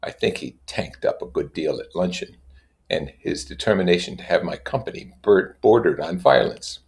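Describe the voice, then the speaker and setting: A man reading literature aloud in a measured way, with casual explanatory asides. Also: sits low, animated, vocal fry. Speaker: reader and commentator.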